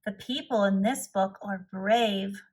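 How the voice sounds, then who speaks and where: A woman voicing a timid male toad in a storybook, earnest and expressive, putting on bravery. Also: medium pitch, slow.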